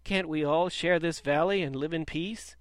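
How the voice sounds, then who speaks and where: A man voicing a gentle, sad antelope and goat who reason calmly. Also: a little high, normal speed, clear, resonant tone, no room sound, clean studio sound, pitched above the performer's own voice, lightly acted. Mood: pleading.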